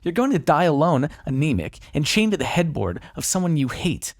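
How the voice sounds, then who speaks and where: Guy playing a smug wry vampire, gravelly voice, super close dry sound, teasing asmr roleplay. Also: fairly high pitch, very animated, a little fast, no room sound. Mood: frustrated, bitter. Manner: sardonic, conversational, teasing, with sighs and swearing. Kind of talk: intimate ASMR roleplay monologue.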